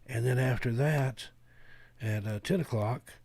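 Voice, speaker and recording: A man's voice: mid-range voice, a little slow, vocal fry, darkish tone, dry recording. A man hosting a radio station, making casual live announcements in a rambling, informal way.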